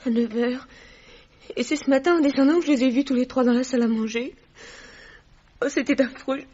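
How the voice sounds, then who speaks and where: Casting: timid teenage servant girl, high plaintive voice, tearful and simple, radio play with dull lo-fi sound. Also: brisk, dark tone, breathy edge. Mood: shaken, plaintive. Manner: plaintive, deferential. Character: frightened tearful eighteen-year-old village housemaid, simple and respectful. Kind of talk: French radio drama acting.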